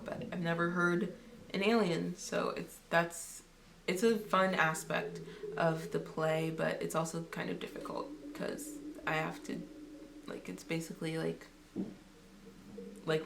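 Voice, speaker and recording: A teenage girl gives a casual interview answer in a hesitant, halting way. Her voice is on the low side. She sounds a little away from the mic, with faint noise around her. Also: steady tone, gravelly, a bit bright, distant mic. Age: teens. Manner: hesitant, frequent "like". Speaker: student sound effects creator.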